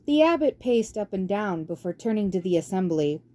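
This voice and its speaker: A woman's voice: medium pitch, sing-song, moderate pace, mellow. A woman reading a storybook aloud as a scripted narration. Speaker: amateur audiobook reader.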